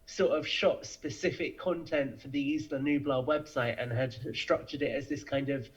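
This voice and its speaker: A man's voice: medium pitch, fairly even intonation, normal speed. A young British man chatting casually and enthusiastically as a podcast guest, over dull, lo-fi audio. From United Kingdom. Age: young adult. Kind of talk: casual chat.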